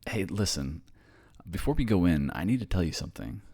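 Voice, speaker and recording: A man's voice: low voice, lively intonation, brisk, warm tone, husky, exaggerated voice. A man acting in an ASMR roleplay as an earnest, reassuring boyfriend raised by ghosts, with a hesitant manner.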